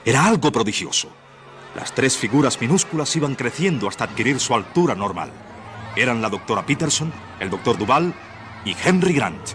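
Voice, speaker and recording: A man's voice: medium pitch, very animated, normal speed, music in the background. A man giving scripted dramatic narration for a radio play. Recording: noisy old radio drama recording.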